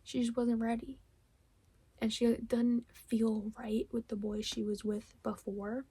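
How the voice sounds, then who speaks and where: A young woman, a little hoarse from losing her voice, chatting casually about books she read, rambling with lots of fillers and giggles. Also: fairly high pitch, flat delivery, slow pace, warm tone. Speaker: book vlogger. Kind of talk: casual book wrap-up chat.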